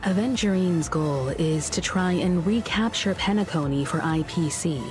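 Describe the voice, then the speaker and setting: An actress playing a calm, analytical woman in a video game story, speaking in a measured, deliberate way. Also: lowish voice, lightly acted. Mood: analytical, cautious. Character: calm, analytical adult woman, cautious crew member. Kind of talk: scripted game story dialogue. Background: background music.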